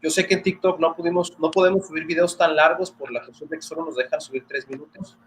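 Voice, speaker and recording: A man's voice: a little high, steady tone, quick pace, slight background noise, low-quality recording. A man with a northern Mexican border accent interviewing a doctor politely and formally over a video call. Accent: northern Mexican border Spanish. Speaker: interviewer and news presenter.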